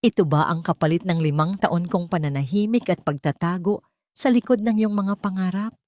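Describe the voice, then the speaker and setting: A proud, wounded wife, voiced by a woman narrator speaks with grave bitterness in an audio story. Her voice is fairly low, with a dry sound. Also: moderate pace, darkish tone, dry recording, lightly acted. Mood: bitter anguish.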